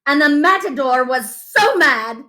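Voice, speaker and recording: A woman's voice: sits high, animated, measured pace, pushed and bright. A woman reading a picture book aloud to children as a storyteller, with dramatic emphasis and asides to her listeners.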